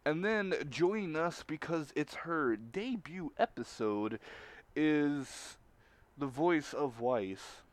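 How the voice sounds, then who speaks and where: A man hosting a casual group livestream, talking in a halting, informal, slangy way. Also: on the high side, animated, dry recording. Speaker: livestream host and show organizer.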